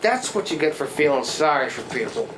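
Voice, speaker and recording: In a roomy live recording with faint noise, a woman plays a scruffy, hard-drinking riverboat man for a radio play. He talks in a folksy, rambling way, wheedling and put-upon, swinging widely in pitch. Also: big pitch swings, fairly quick. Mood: indignant, mocking. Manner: folksy, rambling, theatrical working-class speech. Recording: roomy live stage, watery foley noise.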